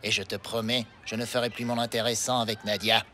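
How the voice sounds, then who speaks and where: A man voicing a slow-talking male character in a French children's cartoon. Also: fairly low pitch, level tone, bright, forceful tone, a little hiss or hum. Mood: coaxing. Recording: music behind the voice.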